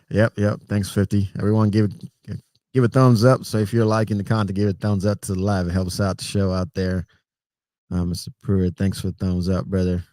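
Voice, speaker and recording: A middle-aged man with a Puerto Rican accent, hosting a relaxed, rambling livestream chat and greeting viewers by name. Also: sits low, normal speed, no echo. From Puerto Rico. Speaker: trucker livestream host.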